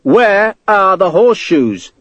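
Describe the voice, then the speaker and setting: A man's voice: high voice, big pitch swings, quick pace, no room sound, voice pushed up. A man playing a tough, impatient British army ensign in an audiobook, blunt and colloquial. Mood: demanding, impatient. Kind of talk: audiobook character acting.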